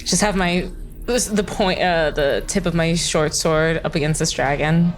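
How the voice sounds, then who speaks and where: A woman's voice: low-pitched, a little slow, long reverb tail. A woman taking part as a player in a tabletop roleplaying game, speaking casually. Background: music.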